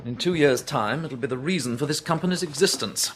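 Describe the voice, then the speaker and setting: An actor playing a brisk, businesslike research chief with an English accent in a radio drama, clipped and probing. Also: male voice, a little high, background noise, natural voice. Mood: businesslike, intent. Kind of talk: British radio drama acting.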